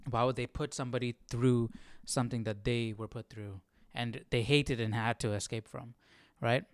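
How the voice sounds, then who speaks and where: A man's voice: mid-range voice, steady tone, normal speed, no room sound, studio quality. A man hosting a Bible study livestream, reading aloud and commenting casually, often questioning and checking for agreement.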